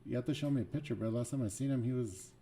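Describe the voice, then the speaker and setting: A man's voice: medium pitch, very fast, warm tone, a little hiss or hum. A young man hosting a live trading stream, chatting casually and laid-back, dropping "bro" often. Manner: laid-back, slangy, says "bro" a lot.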